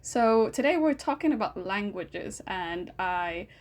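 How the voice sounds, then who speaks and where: A woman's voice: mid-range voice, expressive, measured pace, darkish tone. A young woman from Hong Kong hosting a casual podcast chat, leading the talk with friendly questions and plenty of fillers. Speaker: podcast host.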